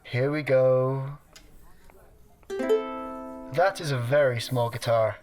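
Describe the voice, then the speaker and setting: An actor playing a fussy, put-upon computer mind stuck in a toaster in a sci-fi comedy radio play, dry, pedantic and exasperated. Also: male voice, mid-range voice, steady tone, extremely slow, music in the background. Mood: dry, nagging.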